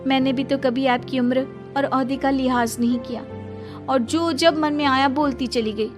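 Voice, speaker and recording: A woman voicing an earnest young daughter-in-law who is eager to please, in an animated moral story. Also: high-pitched, moderate pace, over music, lightly acted. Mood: apologetic. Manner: pleading, earnest.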